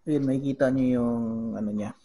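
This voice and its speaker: A man with a Filipino accent giving a casual how-to demonstration as a vlogger, halting as he searches for words and mixing two languages. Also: mid-range voice, fairly even intonation, warm tone, a little hiss or hum. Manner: halting, searching for words, switches between Tagalog and English. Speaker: vlogger giving a gadget tutorial.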